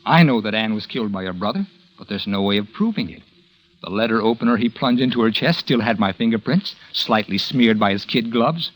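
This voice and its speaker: An actor playing a calculating murderer who gives a dry, sardonic confession in a 1940s radio drama. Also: male voice, lowish voice, expressive, fairly quick, low-quality recording. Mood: grim. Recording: old radio broadcast with faint music.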